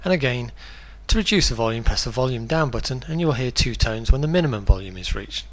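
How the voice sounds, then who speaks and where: A man reading a scripted instructional product guide in a clear, step-by-step way. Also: mid-range voice, lively intonation, fast.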